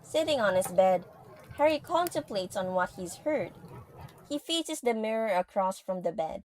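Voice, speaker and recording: A woman's voice: mid-range voice, expressive, normal speed, a little mellow, a little hiss or hum, low-quality recording. A woman reading scripted story narration as the narrator of an audio drama.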